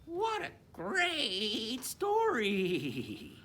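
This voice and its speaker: A woman's voice: a little high, wildly swooping pitch, drawn-out words, a bit bright, heavily acted. A woman voicing a cheerful, wacky young pony in a children's book discussion, with some background noise. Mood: cheerful, musing.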